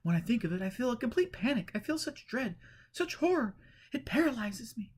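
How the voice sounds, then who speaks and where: Man putting on an anxious high-pitched woman. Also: big pitch swings, brisk, breathy edge, full character voice. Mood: fearful, distressed. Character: anxious, high-strung woman in her early thirties, self-effacing. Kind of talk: audiobook character voice.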